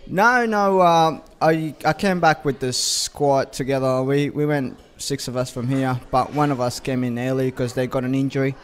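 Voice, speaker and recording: A young man from Sudan answering interview questions about football training, pausing often with fillers. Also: medium pitch, fairly even intonation, clear, resonant tone. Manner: hesitant, many uh fillers and restarts.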